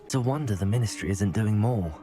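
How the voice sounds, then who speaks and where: An actor playing a thoughtful, composed young student wizard in a video game, with a calm, questioning manner. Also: male voice, lowish voice, quick pace, over loud music, natural voice. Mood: observant. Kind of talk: video game cutscene acting.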